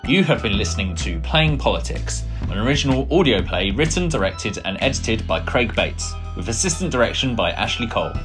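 A man's voice: fairly low pitch, very animated, brisk, hardly any pauses. A man reading scripted closing credits as an announcer. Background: guitar music.

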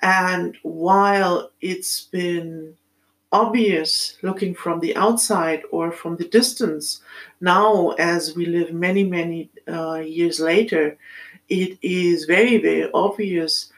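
A middle-aged woman with a German accent, speaking in a reflective, halting solo monologue as a podcast host, with many pauses. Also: lowish voice, fairly even intonation, low-quality recording.

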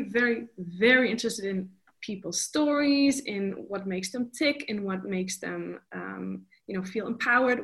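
A woman's voice: mid-range voice, a bit bright. Chatty woman guest. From Netherlands. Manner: chatty, loose, many fillers and restarts. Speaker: guest, vocal coach. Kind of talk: casual interview chat.

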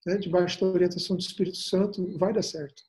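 A man's voice: sits high, fairly even intonation, quick pace, darkish tone. A middle-aged man with a Brazilian accent chatting in an interview, reflective and fond of rhetorical questions. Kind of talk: casual interview conversation.

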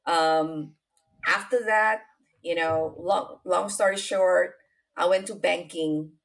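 A middle-aged woman from Indonesia with an Indonesian accent, telling her life story as an interview guest, unhurried and full of fillers. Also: fairly low pitch, fairly even intonation, vocal fry. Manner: unhurried, many fillers and restarts, non-native grammar.

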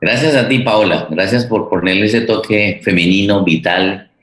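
Older man, Colombian accent, low voice, echoing room, halting host delivery. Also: fairly bright tone. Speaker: host and theatre director. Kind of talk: unscripted event hosting. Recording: roomy, echoing voice pickup.

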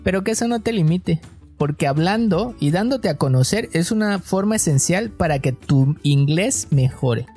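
A man with a Mexican coastal accent co-hosting a podcast, chatting casually and telling stories in a relaxed, slangy way. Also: high-pitched, moderate pace, husky, faint music behind. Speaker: podcast co-host.

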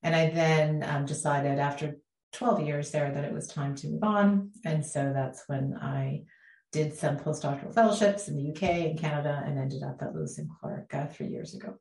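A middle-aged woman from the Bahamas, a law professor answering interview questions in a measured, thoughtful way with frequent ums. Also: low-pitched, a little mellow. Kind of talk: podcast interview. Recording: echoey room.

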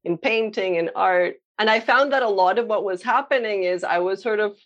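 A woman's voice: mid-range voice, normal speed, muffled recording. Thoughtful woman painter from Colombia. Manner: thoughtful, many fillers and restarts. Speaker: painter, interviewee. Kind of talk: reflective conversational interview.

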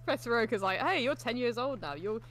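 A woman's voice: on the high side, animated, quick pace, a bit bright. A woman making wry, joking, casual commentary while watching a film. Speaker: host of a movie reaction show. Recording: film soundtrack clearly behind. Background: movie audio with music.

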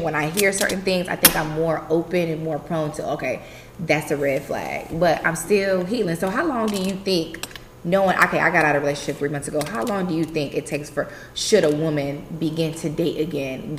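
Fast-talking woman in her twenties. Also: deep voice. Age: 20s. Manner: animated storytelling, emphatic. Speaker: podcast co-host. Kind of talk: casual chat. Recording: echoey room with faint noise.

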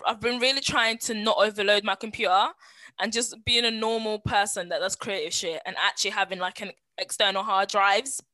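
A woman, co-hosting a podcast in casual, slangy chat full of animated storytelling and quoted asides. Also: medium pitch, brisk, no echo. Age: 20s. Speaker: podcast co-host.

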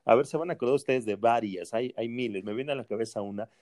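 A man's voice: medium pitch, fast talker, darkish tone, no echo, clean studio sound. A man, a sports journalist, reminiscing with anecdotes and quoting a friend in a raised voice. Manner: laughing anecdotes, quotes in a raised voice. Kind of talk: reminiscing in conversation.

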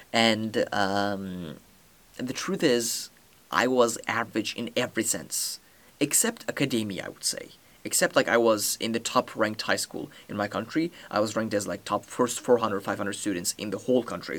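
A man's voice: lowish voice, fairly bright tone. A young man from Turkey, a native Turkish speaker, hosting a solo podcast in a determined, earnest way with many fillers and restarts. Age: young adult. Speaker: podcast host.

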